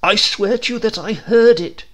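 A man's voice: sits high, normal speed, fairly bright tone, pitched above the performer's own voice. A man voicing an old rural postman in a storybook tale, naive, earnest, urgent and bewildered. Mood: baffled, insistent.

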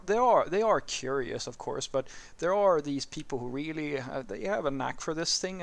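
Swedish man, native swedish speaker, gravelly voice, casual talk outdoors, walking with camera. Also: medium pitch. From Sweden. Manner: conversational, restarts and "uh" fillers. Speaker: programming channel host. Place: outdoors on a suburban street.

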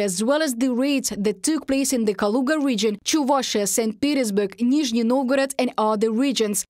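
A woman reporter reading a scripted news voice-over in an even, measured way. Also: a little high, no room sound, studio quality.

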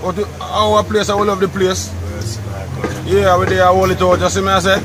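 A man's voice: high-pitched, level tone, normal speed, crowd noise. A young Jamaican man interviewed outdoors under a tarp, easygoing and calling the interviewer brother, picked up by a phone a few feet away. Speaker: interviewee, local business owner. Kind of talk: casual interview. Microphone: phone camera a few feet away. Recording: outdoor phone audio with loud background noise.

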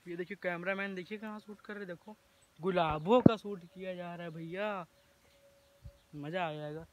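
A man's voice: high-pitched, moderate pace, a little hiss or hum. A young man vlogging outdoors at a farm house, joking and talking straight to a phone camera held at arm's length. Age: young adult. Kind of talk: casual vlog narration. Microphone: phone selfie camera at arm's length.

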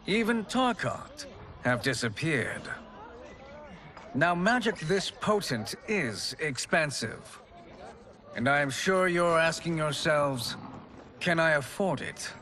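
An actor playing a scheming male sorcerer posing as a kindly old peddler, with a smooth, theatrical sales-pitch delivery, in a video game cutscene. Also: fairly bright tone.

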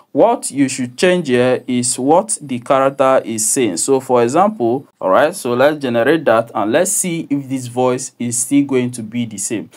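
A man presents a software tutorial. His medium-pitched voice is deliberate and instructive as he explains things step by step. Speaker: tutorial host.

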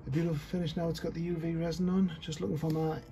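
A man's voice: on the high side, little pitch movement, quick pace, noisy background. A man giving a casual fly tying tutorial at his desk, chatty and self-deprecating, thinking aloud as he works. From North East England.

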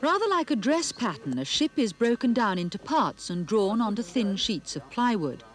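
Lively woman presenter. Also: fairly high pitch, normal speed. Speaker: host. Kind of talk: scripted documentary narration and studio interview. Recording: faint noise, 1970s television broadcast.

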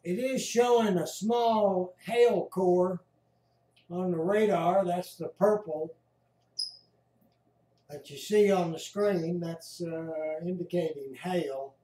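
A man with an American accent talks slowly in a fairly high voice, giving casual live commentary. His delivery is halting and unhurried, with a mellow tone, recorded in a roomy space. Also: steady tone. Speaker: amateur storm-watcher narrating a screen recording. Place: indoors at home by a computer. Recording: roomy echo.

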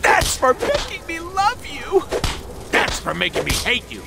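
A man's voice: very high voice, wildly swooping pitch, unhurried, creaky, over loud music, full character voice. A man playing a manic, childish, cocky mercenary antihero in a video game, with a hyperactive, mocking, theatrical delivery. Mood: mock angry.

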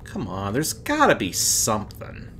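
Very deep-voiced woman, animated gaming commentary. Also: unhurried, slight background noise. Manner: thinking aloud, playful. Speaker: let's player. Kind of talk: casual let's play commentary.

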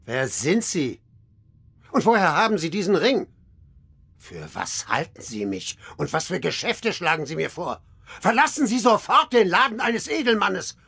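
An actor playing a greedy, gruff, shifty watchmaker who haggles dismissively in a German radio play. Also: male voice, high voice, very animated, a little slow, a bit bright, full character voice. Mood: indignant. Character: shifty, greedy, scrawny little old watchmaker and fence.

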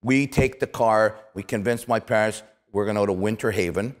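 A man's voice: fairly low pitch, a little fast. Canadian man telling stories in a reverberant room. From Toronto, Canada. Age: 50s. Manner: animated, emphatic, repeats words for emphasis. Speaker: interview show host, retired athlete. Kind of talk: casual conversational storytelling. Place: small office-like studio set, indoors. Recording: echoey room with long reverb.

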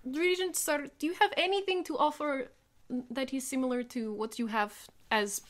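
A woman's voice: high-pitched, fairly even intonation, moderate pace, a bit bright. A woman playing a tabletop role-playing game, speaking casually and slipping in and out of character.

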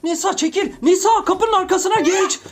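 A woman hosting a children's play video as a parent, in an excited, play-acting style, exclaiming and repeating short commands. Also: very high voice, normal speed, over loud music.

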